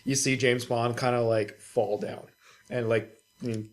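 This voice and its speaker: A man co-hosting a gaming podcast in casual, sweary chat, telling stories in an animated, informal way. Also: medium pitch, unhurried. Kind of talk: casual chat about video games.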